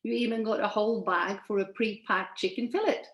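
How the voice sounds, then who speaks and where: A woman's voice: mid-range voice, fairly even intonation, brisk, fairly bright tone, roomy sound. Swedish-born woman in her sixties presenting. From Sweden. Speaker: presenter at an online event. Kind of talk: presentation from shared slides. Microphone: video call.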